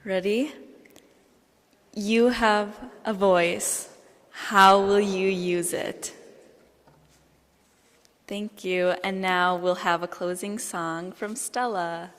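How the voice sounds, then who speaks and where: A woman leading an event, giving calm step-by-step instructions from a stage. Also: medium pitch, extremely slow, pauses often. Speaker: event emcee. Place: large hall on a stage. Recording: long hall reverb.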